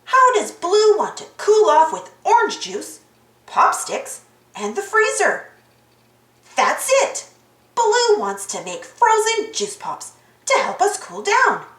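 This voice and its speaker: Very high, animated woman reading a storybook. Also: slow pace. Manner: exaggerated, sing-song enthusiasm for young children. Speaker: storybook reader. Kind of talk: animated children's read-aloud. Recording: roomy echo.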